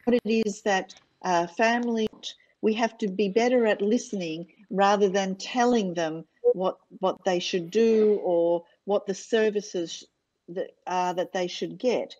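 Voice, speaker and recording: Woman panelist, lots of uhs and restarts, kinda muffled lo-fi sound, mid pitch. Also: measured pace, darkish tone. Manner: hesitant, frequent fillers and restarts. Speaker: panelist on early childhood. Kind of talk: webinar panel talk.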